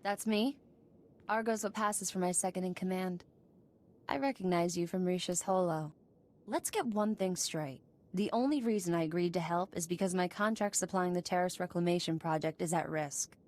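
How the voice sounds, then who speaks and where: A woman's voice: on the low side, moderate pace, darkish tone, lightly acted. A woman playing a tough, sardonic smuggler running a warehouse in a video game, blunt and wry. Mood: businesslike.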